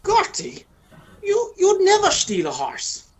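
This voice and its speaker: A woman's voice: very high voice, wildly swooping pitch, slow pace, heavily acted. A woman playing a hapless, flustered man in a radio play, hesitant and stammering. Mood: bewildered. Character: flustered, hapless man caught with his lover.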